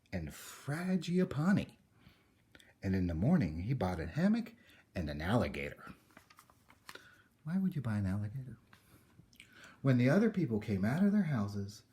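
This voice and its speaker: A man reading a children's picture book aloud in a theatrical way, with dramatic pauses. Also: medium pitch, dark tone. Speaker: story reader.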